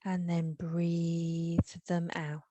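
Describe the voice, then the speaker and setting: A woman with an English accent leading a guided meditation, soothing and unhurried, with long pauses. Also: on the low side, completely flat delivery, warm tone, dry recording.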